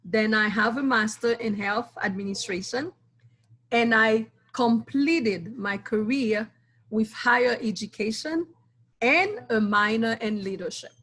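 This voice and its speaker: A woman speaks in a somewhat high voice as an interview guest. She answers earnestly and with pride, laying out her points in short phrases broken by pauses. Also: a bit bright. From Haiti. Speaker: guest, school board candidate.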